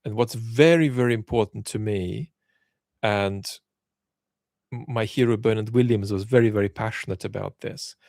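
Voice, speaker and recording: A man's voice: on the low side, moderate pace, gravelly, darkish tone, no echo, clean studio sound. A man hosting a question-and-answer chat, speaking thoughtfully and often repeating words as he restarts a sentence. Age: adult, lived in Israel as a child aged nine to twelve. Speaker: channel host answering viewer questions. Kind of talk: livestream Q&A commentary.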